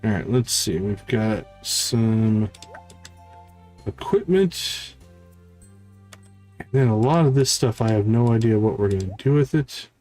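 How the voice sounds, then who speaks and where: A man giving casual live video game commentary, chatting and thinking out loud. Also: fairly low pitch, lively intonation, a little slow, long pauses, faint music behind. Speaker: gaming streamer.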